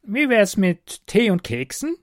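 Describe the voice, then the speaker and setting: A man's voice: sits high, sing-song, measured pace, no room sound, clean studio sound, voice pushed up. A man voicing a small, grumpy green male house goblin who talks in a scornful, snappish way. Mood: grumbling.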